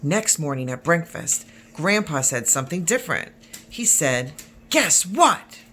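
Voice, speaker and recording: Lowish-voiced woman reading a storybook. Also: lively intonation, normal speed, fairly bright tone, a little hiss or hum. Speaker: school staff member reading a story. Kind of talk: children's picture book read-aloud.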